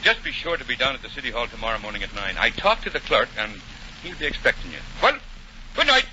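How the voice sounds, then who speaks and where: A man's voice: mid-range voice, big pitch swings, quick pace, pushed and bright. An actor playing a jovial, shrewd male police detective given to teasing banter, in 1940s radio comedy style. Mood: magnanimous. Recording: muffled, noisy 1940 radio broadcast.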